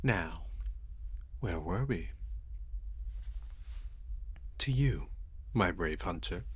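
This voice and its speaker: Man playing a deep, slow, husky vampire lord. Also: expressive, heavily acted. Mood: smooth, predatory. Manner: suave, teasing, formal and menacingly polite. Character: sadistic, seductive, courtly male vampire lord. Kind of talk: ASMR roleplay, slow intimate dramatic delivery.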